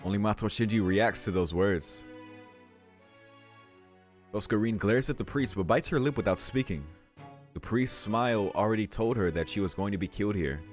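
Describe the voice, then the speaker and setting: A male let's-play host reads visual novel narration aloud. His voice is quite low, and soft music plays behind it. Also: normal speed, long pauses.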